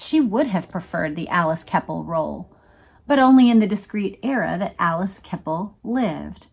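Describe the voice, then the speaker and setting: Woman, medium pitch, read-along host, conversational, emphatic delivery. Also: a little mellow, breathy edge, rough recording. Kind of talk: reading a book aloud with casual commentary.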